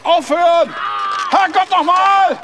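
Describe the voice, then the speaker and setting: An actor playing an excitable, fussy older pensioner in a German radio crime drama. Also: male voice, extremely high pitch, takes it slowly, heavy background noise. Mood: outraged. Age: older, retired. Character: widowed male pensioner, excitable, fussy, awkwardly smitten.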